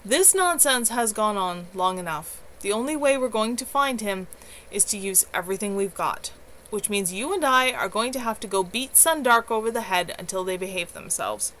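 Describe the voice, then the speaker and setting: A woman's voice: mid-range voice, expressive, normal speed, fairly bright tone, a little hiss or hum, close to an everyday voice. Woman reading as a firm, determined young woman. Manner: firm, insistent. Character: determined, commanding young woman rallying her friend.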